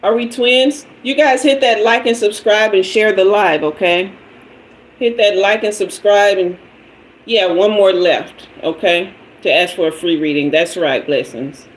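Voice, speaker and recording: Slow-talking chatty woman in her fifties. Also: mid-range voice, rough recording. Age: 50s. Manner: chatty and playful, greeting viewers and asking for emojis. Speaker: tarot reader hosting a livestream. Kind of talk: casual livestream chat with viewers.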